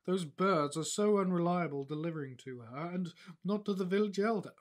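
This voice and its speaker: Practical male adventurer-farmer played by a man, blunt and grumbling, voice on the high side, fantasy audio drama. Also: fairly quick, lightly acted. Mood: impatient.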